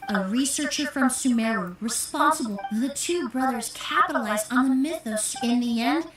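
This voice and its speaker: Young female sleuth, acted by a woman, composed, precise, formal, slightly high pitch, video game, echoey. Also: fairly bright tone, slight background noise, natural voice. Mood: cool, probing. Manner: measured, formal, analytical. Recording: echoey room sound.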